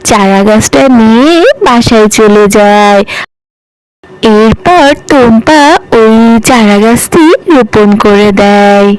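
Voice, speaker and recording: A woman's voice: fairly high pitch, slow pace, darkish tone, clear, resonant tone, low-quality recording. A woman narrates a fairy-tale cartoon in a scripted storybook style. She delivers the linking story lines as a narrator standing outside the characters.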